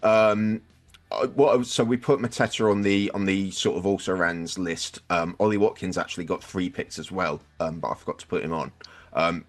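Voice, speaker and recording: A man's voice: low voice, steady tone, moderate pace. A man hosting a casual sports podcast, talking through picks in a conversational way. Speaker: podcast host.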